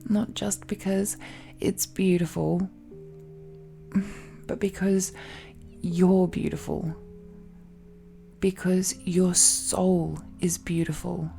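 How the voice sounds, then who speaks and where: Woman voicing a dragon-blooded fiancée, romantic audio roleplay, possessive yet adoring, very slow halting delivery, soft dark tone, emotional sighs. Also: fairly low pitch, flat delivery, vocal fry, faint music behind. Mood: tender, adoring. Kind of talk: intimate romantic audio roleplay addressed to the listener.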